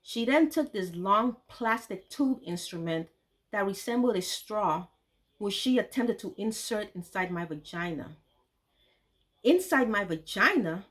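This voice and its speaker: A woman's voice: medium pitch, lively intonation, a little slow, fairly bright tone. A woman reading her memoir aloud, telling a painful story in an earnest, grave manner.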